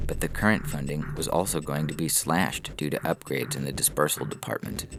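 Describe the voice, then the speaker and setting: A man with a gravelly, creaky voice narrating a scripted science fiction story. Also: extremely low pitch. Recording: clear background noise with clicks.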